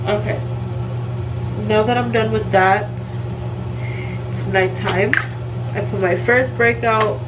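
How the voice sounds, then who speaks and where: Woman vlogger, stuffed-up nose, unhurried, self-mocking, mic set back, humming background. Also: mid-range voice, lo-fi sound. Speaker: beauty vlogger. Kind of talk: casual skincare routine walkthrough. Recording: distant mic with steady hum.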